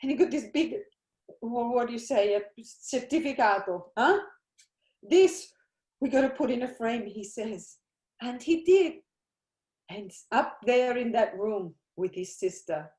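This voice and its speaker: A woman's voice: a little high, animated, measured pace, a little mellow. An actress playing a desperate Italian immigrant mother with an Italian accent, speaking broken English. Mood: furious grief. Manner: broken English, pleading then raging. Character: grieving immigrant mother, older woman, desperate and fierce. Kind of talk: broadcast drama acting. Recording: roomy echoey recording.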